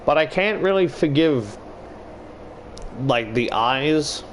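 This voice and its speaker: A man commentates casually on a game over a clear air-conditioner hum. His delivery is slow and halting, rambling from one thought to the next with plenty of swearing. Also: a little high. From Australia. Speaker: YouTuber playing and reading a visual novel. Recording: clear steady air-conditioner background noise.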